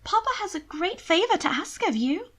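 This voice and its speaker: A woman's voice: extremely high pitch, sing-song, moderate pace, fairly bright tone, pitched above the performer's own voice, heavily acted. A woman voicing a pampered, manipulative young noblewoman in an audio story, with a sweet, cajoling, emphatic delivery. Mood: sweet, wheedling. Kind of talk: expressive audiobook character reading.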